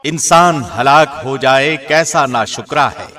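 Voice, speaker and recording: A man reading a scripture translation as a scripted narration, in a measured, formal way. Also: mid-range voice, sharp tone. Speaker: translation narrator, radio host.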